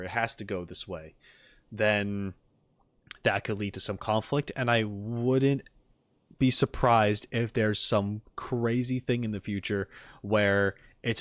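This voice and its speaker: Low-voiced man in casual stream chat. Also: fairly bright tone, no room sound, clean studio sound. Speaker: streamer reacting and chatting with viewers. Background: music in the background.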